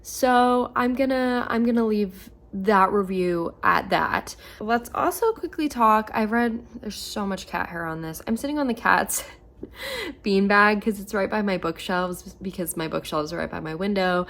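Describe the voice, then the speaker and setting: A woman in her twenties chatting casually at home about books, a little hoarse from losing her voice and filling her talk with "like". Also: medium pitch, animated, unhurried, a bit bright. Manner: chatty, lots of "like" fillers. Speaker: book vlogger. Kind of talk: casual reading vlog.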